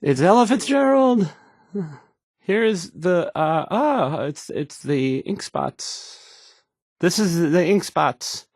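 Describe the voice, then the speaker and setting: A man's voice: high voice, lively intonation, a little mellow, no echo, studio quality, full character voice. An actor playing an awkward, insecure young male radio DJ who stammers and gets flustered introducing songs. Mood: flustered, unsure. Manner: halting, stammering, flustered, self-doubting. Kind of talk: stumbling radio song introductions.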